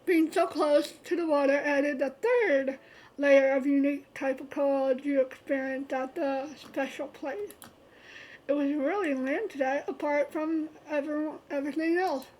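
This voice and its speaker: A woman's voice: sits high, a little mellow, slight background noise, low-quality recording. A woman streamer reading a visual novel aloud, stumbling and restarting often and adding chatty asides. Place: streaming setup.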